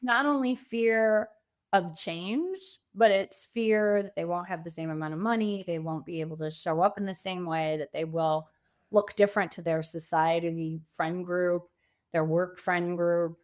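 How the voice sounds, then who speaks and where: A woman's voice: lowish voice, fairly even intonation, a little slow. A woman answering as a guest coach in a podcast interview, speaking informally and emphatically, often acting out quoted thoughts.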